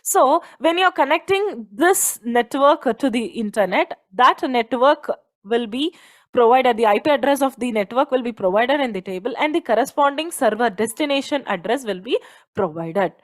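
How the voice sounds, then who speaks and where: Indian-accented female lecturer, high voice, didactic delivery that repeats and stresses key points. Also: animated, normal speed. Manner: didactic, poses questions then answers them, repeats key points. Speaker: teacher. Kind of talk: explanatory technical lecture.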